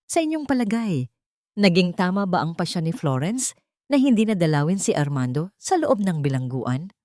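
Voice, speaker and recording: A woman storyteller reading a scripted dramatic narration in a solemn, sentimental, measured way. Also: low-pitched, a little mellow, no room sound, clean studio sound.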